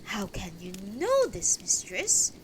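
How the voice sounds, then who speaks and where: A woman's voice: sits high, very animated, slow pace, slight background noise, pitched above the performer's own voice, heavily acted, poor audio. A woman voicing a proud, indignant elderly knight of Arthur's court in a storybook reading, speaking in a courtly, formal way. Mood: alarmed, demanding.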